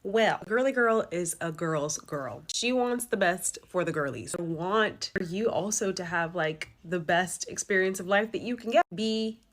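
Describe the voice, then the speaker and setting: A hoarse woman giving upbeat, persuasive lifestyle tips in a vlog. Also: lowish voice, animated, measured pace, hardly any pauses.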